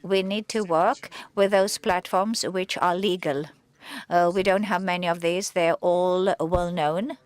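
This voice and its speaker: A woman speaking on a conference panel in an earnest, halting way, often searching for words. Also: lowish voice, fairly even intonation, no echo. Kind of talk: panel discussion.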